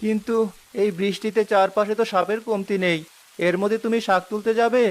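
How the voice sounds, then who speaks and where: A man's voice: high voice, steady tone, fairly quick, natural voice. A man voicing a poor, worried village husband in a cartoon, grumbling and resigned.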